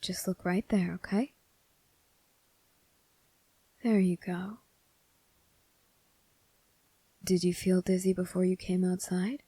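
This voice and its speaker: Kind young male cadet female performer, ASMR roleplay. Slightly low, dark soft tone, unhurried, polite, hesitant, reassuring. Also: vocal fry, dry recording. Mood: soothing, worried. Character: kind, earnest teenage boy military cadet, soft-spoken and caring.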